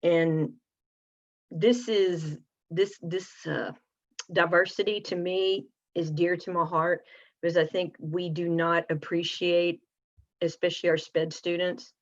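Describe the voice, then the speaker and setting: A woman's voice: fairly low pitch, steady tone, slow pace, highs cut off. A woman co-presenting at a teacher webinar, speaking in an earnest, plainspoken way. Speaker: co-presenter, special education teacher. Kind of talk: conversational webinar talk.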